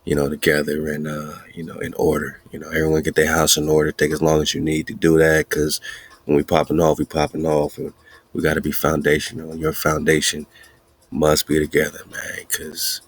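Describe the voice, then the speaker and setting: A podcast host speaking in a slow, emphatic, repetitive preaching style with a husky voice. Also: male voice, a bass voice, monotone, no room sound. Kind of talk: casual preaching-style monologue.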